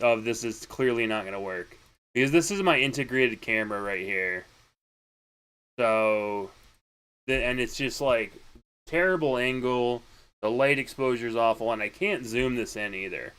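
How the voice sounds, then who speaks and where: A young man with a deeper voice chats casually into a desk mic while fixing his stream setup. His pitch sits in an ordinary middle range. He rambles, backtracks and corrects himself as he talks to viewers. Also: normal speed, a bit bright, clean tone.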